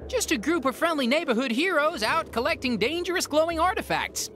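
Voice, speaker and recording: A woman's voice: high voice, sing-song, brisk, a bit bright, slight background noise. A woman playing a breezy, wisecracking young superhero in a video game, casual and sarcastic. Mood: wry, breezy.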